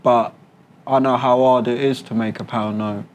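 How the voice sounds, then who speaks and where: A man's voice: medium pitch, steady tone, normal speed, darkish tone, dull audio, slight background noise. A man with a London accent talking candidly in an interview, colloquial and explanatory. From London, England. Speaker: interviewee, British expat. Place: a condo apartment.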